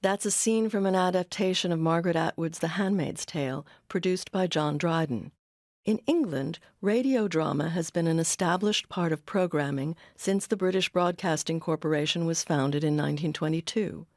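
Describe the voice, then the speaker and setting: A woman with an American accent giving scripted documentary narration in a measured, articulate way. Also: lowish voice, a little mellow, no room sound, studio quality.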